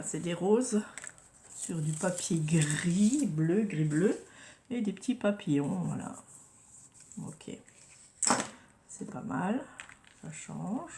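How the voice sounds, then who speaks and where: Slow, gravelly woman with a cold. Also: fairly low pitch, heavy background noise. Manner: drops to murmurs and whispers while working, repeats "voilà" often. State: has a head cold. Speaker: craft vlogger. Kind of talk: casual crafting tutorial.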